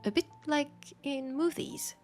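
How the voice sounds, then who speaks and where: A woman with a French accent playing a teasing, curious bookshop keeper in an intimate audio roleplay, speaking softly and musingly and often slipping toward a whisper. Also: high voice, fairly even intonation, unhurried, faint music behind. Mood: musing, gentle. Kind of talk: intimate audio roleplay, soft acted monologue.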